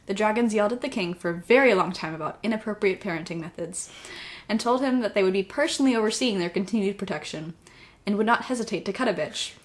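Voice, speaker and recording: An actress playing a cocky but caring young vigilante in a naturalistic audio drama, with casual, teasing delivery. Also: female voice, mid-range voice, close to an everyday voice. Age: young adult. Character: cocky, caring young woman, college-age masked vigilante.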